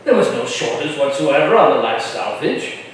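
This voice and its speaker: A man's voice: medium pitch, very animated, normal speed, natural voice. A man playing a pompous colonial grandee with a declamatory delivery in a staged reading, recorded from far off in an echoey room. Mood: aghast. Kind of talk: staged reading of a historical drama. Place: live stage in a reverberant room. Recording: very echoey, distant microphone.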